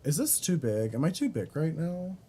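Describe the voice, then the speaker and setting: A man's voice: moderate pace, slightly rough. A young man streaming a game, chatting casually with his viewers, swearing and reading chat aloud. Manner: chatty, swears, reads chat aloud, swings between flat mutters and high squeals.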